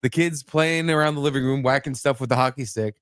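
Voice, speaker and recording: A man's voice: mid-range voice, fairly quick, a bit bright, clean tone, dry recording, studio quality. A man hosting a podcast, chatting casually and comedically, telling profane stories with acted-out exclamations. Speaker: podcast host.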